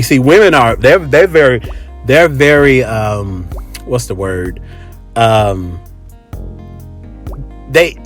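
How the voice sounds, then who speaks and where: Emphatic American man, deep-toned, over music. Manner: emphatic, repetitive, slangy and profane. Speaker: podcast host. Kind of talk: casual opinionated monologue.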